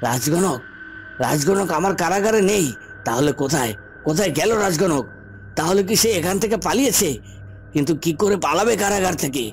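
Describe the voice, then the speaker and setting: A man playing a scheming, hot-tempered palace villain in a cartoon fairy tale, speaking in deliberate phrases with emphatic repetition. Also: fairly high pitch. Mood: angry, alarmed. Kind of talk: Bengali cartoon drama.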